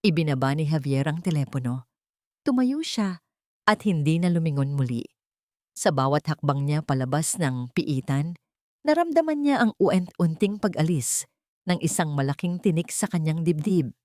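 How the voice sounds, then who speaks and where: A Filipino woman narrates an audio drama in a low, measured voice. The recording is dry and close, and her telling stays steady and descriptive. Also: no echo.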